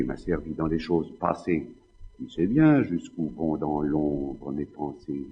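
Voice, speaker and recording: An actor playing a proud, scheming Spanish nobleman in a classical French stage drama, in a theatrical, declamatory manner. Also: extremely low pitch. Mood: scheming, vengeful. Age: middle-aged. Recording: slightly dull, long hall reverb.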